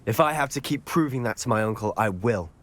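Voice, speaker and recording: A man's voice: fairly low pitch, lively intonation, a little fast, a bit bright. A man playing a defiant, protective schoolboy in a video game, speaking earnestly and stubbornly. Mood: defiant, hurt. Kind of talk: dramatic game cutscene acting.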